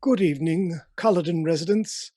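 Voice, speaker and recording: A man's voice: fairly high pitch, moderate pace, dark tone, slight background noise, natural voice. A man playing a formal, deferential servant of an Edwardian household in a radio play.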